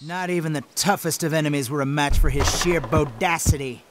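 A man voicing an excitable, boastful panda kung fu fan in an animated game, swinging between mock-epic and flustered. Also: a little high, fairly quick, background noise, natural voice. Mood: grand narrating. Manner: self-aggrandizing, mock-epic one moment, flustered the next.

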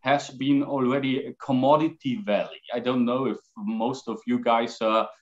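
A man in his forties from Austria, speaking English with an Austrian accent as a guest on a video call, halting with fillers. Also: mid-range voice, steady tone. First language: German. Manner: gestures while talking, halting with fillers. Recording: slightly dull video call audio.

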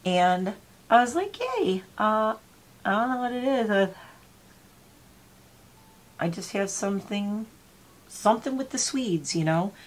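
Makeup vlogger, forties, sniffly, chatty and meandering, a bit of faint room hiss. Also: female voice, medium pitch, very animated, slow pace, pauses often. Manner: chatty, rambling, talks while applying makeup. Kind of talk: casual get-ready-with-me chat. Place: small home room with gaming chair.

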